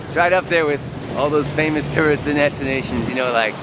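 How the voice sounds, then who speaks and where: A man's voice: on the high side, brisk. A man telling a first-person story to camera like a boastful, playful showman, outdoors. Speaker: sports travel vlogger, storyteller. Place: outdoors on a windy beach. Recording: loud surf and wind noise outdoors. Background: ocean waves, wind, surf.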